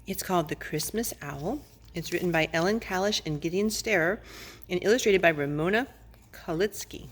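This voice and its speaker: A woman reading a storybook aloud, with casual asides to young children in an expressive manner. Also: on the low side, normal speed, slight background noise.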